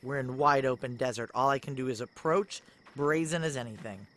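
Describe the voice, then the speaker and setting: A woman's voice: rumbling low, fairly quick, a bit bright, a little hiss or hum. An actress playing a tough, desperate female security operative who narrates and speaks tersely in a noir sci-fi audio thriller. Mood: tense, wry. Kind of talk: first-person noir audio drama acting and narration.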